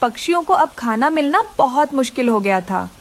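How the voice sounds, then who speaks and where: A woman narrates a children's story in a high voice with lively movement in pitch. Her delivery is scripted storytelling for animation. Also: normal speed.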